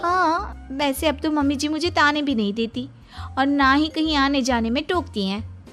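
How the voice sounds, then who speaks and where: A woman voicing an earnest young daughter-in-law who is eager to please, in an animated moral story. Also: high voice, moderate pace, soft background music. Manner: pleading, earnest.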